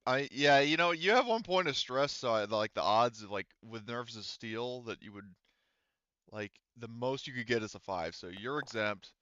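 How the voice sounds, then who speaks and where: A man's voice: medium pitch, normal speed, a bit bright, clean tone, dry recording, dull audio. A man running a tabletop game as game master, narrating casually and often groping for words.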